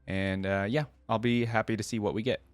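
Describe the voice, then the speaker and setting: Man, American accent, fairly deep pitch, casual commentary, thinking aloud, dry room-free sound. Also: fairly even intonation, a little fast, dry recording. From United States. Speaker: reaction video host. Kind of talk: casual reaction commentary, reading aloud with asides.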